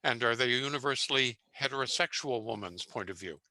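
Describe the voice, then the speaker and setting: Older male publisher, halting and hesitant, dry close sound. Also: mid-range voice, sharp tone, no echo. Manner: hesitant, halting, many fillers and restarts. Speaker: publisher on a pitch panel. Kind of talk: giving feedback on a video call.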